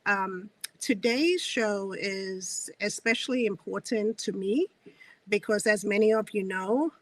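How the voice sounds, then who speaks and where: Slow, earnest woman hosting an interview. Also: medium pitch, dry recording, poor audio. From South Africa. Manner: earnest, measured, with frequent filler pauses. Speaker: host and publisher. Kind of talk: interview introduction.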